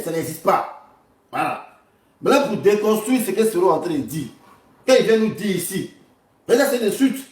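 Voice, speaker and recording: Higher-voiced man commentating in a roomy space. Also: a little hiss or hum. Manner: indignant, repetitive, addressing viewers directly. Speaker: online political commentator. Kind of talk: informal political commentary. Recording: roomy, distant mic.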